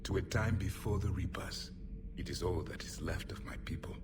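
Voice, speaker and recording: Man playing a deep, solemn alien warrior. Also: in a whisper, natural voice. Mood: somber, haunted. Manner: solemn, deliberate, formal phrasing. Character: grave, mournful alien warrior, last survivor of his people. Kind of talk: cinematic video game dialogue acting.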